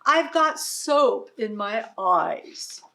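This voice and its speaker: A woman voicing a grumpy, anxious cow in a children's storybook, speaking loudly and slowly. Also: medium pitch, very animated, creaky, distant mic, heavily acted. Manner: slow, loud and drawn out.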